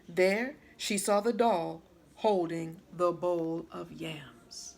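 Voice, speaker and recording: A woman reading a children's story aloud like a teacher, with dramatic, deliberate phrasing. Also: fairly low pitch, fairly even intonation, vocal fry, a little mellow.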